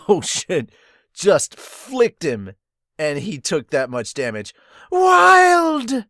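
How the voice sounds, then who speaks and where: A man hosting a podcast, casually reading and reacting to a manga, expressive with laughs and swearing. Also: high-pitched, extremely slow, no room sound, clean studio sound. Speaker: podcast host.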